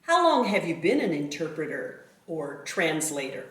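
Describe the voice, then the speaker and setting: A woman's voice: deep voice, big pitch swings, normal speed. A woman hosting an interview, asking questions in an encouraging and complimentary manner. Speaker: interview host. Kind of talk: casual interview. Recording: echoey room, faint noise.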